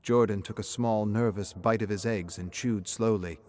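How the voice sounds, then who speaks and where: Low-pitched man narrating lore. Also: fairly even intonation, moderate pace, darkish tone, a little hiss or hum. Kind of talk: scripted dramatic lore narration.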